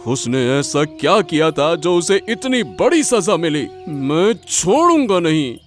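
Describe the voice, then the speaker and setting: A man's voice: on the high side, very animated, a little slow, faint music behind. A man voicing a desperate, grieving village father in a melodramatic cartoon story, with an overwrought delivery that repeats words. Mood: vengeful.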